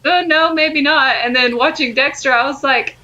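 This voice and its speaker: A woman's voice: high voice, moderate pace, bright, forceful tone, highs cut off. A young woman co-hosting a podcast with casual, wry, teasing banter. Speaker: podcast co-host.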